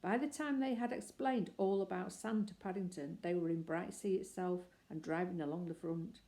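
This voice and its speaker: Mellow woman reading a children's book. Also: fairly low pitch, normal speed. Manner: gentle and expressive. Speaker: reader.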